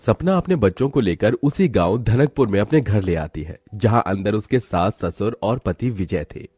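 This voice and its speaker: A man's voice: lowish voice, lively intonation, normal speed, a little mellow, slightly rough, no echo. A man reading scripted narration as the storyteller of a moral family story.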